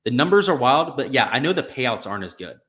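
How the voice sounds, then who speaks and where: A young man with a slightly raspy voice from being sick, casually talking through betting picks on a live stream, thinking aloud. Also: medium pitch, big pitch swings, quick pace, a bit bright. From Florida. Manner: casual, thinking aloud with fillers like "right" and "you know". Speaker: sports betting stream host.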